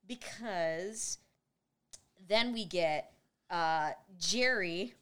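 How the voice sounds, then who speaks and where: A woman's voice: lowish voice, drawn-out words, fairly bright tone, smooth. A woman co-hosting a podcast, recapping an old film in casual chat, laughing often and acting out characters' lines. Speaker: podcast co-host.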